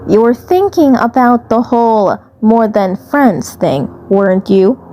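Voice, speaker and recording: A woman's voice: a little high, lively intonation, measured pace, mellow, a little hiss or hum. A woman voicing a small male cartoon commander, teasing and smitten, who repeats his phrases hesitantly and earnestly.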